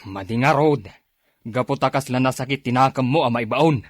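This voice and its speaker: A man playing a bossy, short-tempered husband and father in a radio drama, gruff and demanding, with an Ilocano accent. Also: medium pitch, moderate pace, no echo, studio quality, natural voice. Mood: grumpy, demanding.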